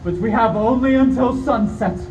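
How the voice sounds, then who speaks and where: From off the mic in an echoey space comes a grave professor who hunts vampires, acted by a man. His voice is rather high for a man and he speaks with theatrical emphasis, as in an old radio play. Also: normal speed, darkish tone. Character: urgent, commanding professor and vampire hunter. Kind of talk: 1930s-style radio play acting. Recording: echoey hall, distant mic, background noise.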